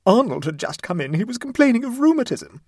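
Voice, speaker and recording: A man voicing a distraught young mother in a storybook reading, shrill, tearful and indignant, wailing and sobbing as the words come out. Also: big pitch swings, normal speed, no room sound, clean studio sound, exaggerated voice. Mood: tearful indignation. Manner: sobbing, high-pressure wailing.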